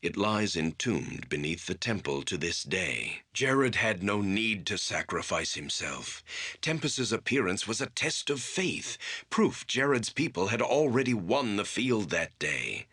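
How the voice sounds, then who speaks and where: An actor playing a stern, proud veteran battle priest in a fantasy game, with a deep, raspy voice and terse, declamatory speech. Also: male voice, big pitch swings, unhurried, sharp tone, heavily acted. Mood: scornful, firm.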